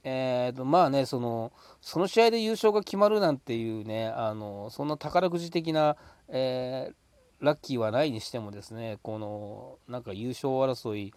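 A man with a mid-pitched voice talks casually on his own as a podcast host. He is polite and often stretches out hesitations between phrases. The sound is close and dry, with very little room. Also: normal speed, darkish tone, clear, resonant tone, no echo. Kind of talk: casual solo chat.

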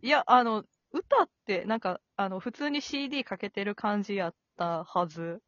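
A woman with a Kansai accent talks casually in a mid-pitched voice. She sounds like a host in an informal chat, recorded dry with slightly muffled, lo-fi sound. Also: expressive, moderate pace, a bit bright, dry recording. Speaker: amateur voice actor and talk show host. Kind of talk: casual after-show chat. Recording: dull lo-fi audio.

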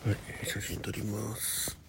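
A man hosting a casual solo morning radio show, chatting in a halting way, thinking aloud and murmuring to himself, with a husky, gravelly voice. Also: on the low side, monotone, heavy background noise. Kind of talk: casual solo chat with health log and listener letters.

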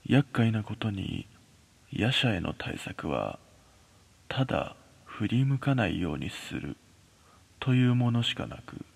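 A man reads a scripted horror tale as its narrator. His voice is on the low side and gravelly, with lively swings in pitch. He tells it in a measured, dramatic manner, leaving pauses as he goes. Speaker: narrator of a horror story podcast. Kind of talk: scripted ghost story narration.

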